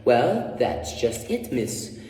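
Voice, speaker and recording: A man voicing an excitable, sentimental female servant with an attempted Scottish accent in a rambling, folksy radio-play style. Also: mid-range voice, slow pace. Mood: dramatic storytelling. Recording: echoey room, distant microphone.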